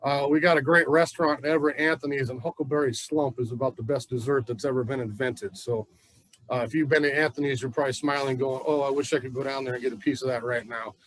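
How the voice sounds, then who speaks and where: A man's voice: mid-range voice, fairly even intonation, fast, fairly bright tone, rough recording. A man from the Pacific Northwest giving a chatty, folksy gardening class at a plant nursery. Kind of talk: casual gardening class talk.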